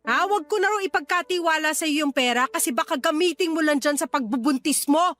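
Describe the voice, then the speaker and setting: A woman's voice: sits high, a little fast, a bit bright, a little hiss or hum. A woman playing a stern, scolding older sister in a radio drama, with a Filipino accent. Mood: angry, accusing. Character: angry, scolding older sister who supports her siblings. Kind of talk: Tagalog radio drama acting. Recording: faint music behind the voice.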